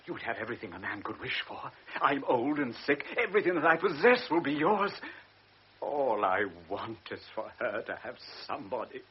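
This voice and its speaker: A man's voice: mid-range voice, big pitch swings, normal speed, breathy edge. An actor playing an old, sick baron who pleads anxiously with a young officer for his daughter's sake. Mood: pleading. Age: older. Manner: pleading, emotional, wavering. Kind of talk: 1960s radio drama acting. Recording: dull lo-fi radio broadcast.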